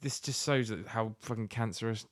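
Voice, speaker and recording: A man's voice: on the low side, moderate pace, no room sound, clean studio sound. A British man co-hosting a podcast in casual comic chat, ranting and swearing in exasperation. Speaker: podcast co-host.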